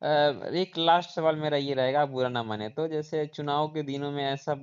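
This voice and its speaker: A man's voice: fairly high pitch, normal speed, clear, resonant tone. A man interviewing over the phone, asking questions deferentially and a little hesitantly. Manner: deferential, frequent short acknowledgements, hesitant questions. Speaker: news channel interviewer. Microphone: phone call. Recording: dull lo-fi phone call recording.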